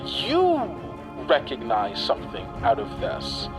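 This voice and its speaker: Man, medium pitch, unhurried game master narration, suspenseful, measured, music behind. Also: huge pitch swings. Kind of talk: slow dramatic tabletop narration. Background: music bed.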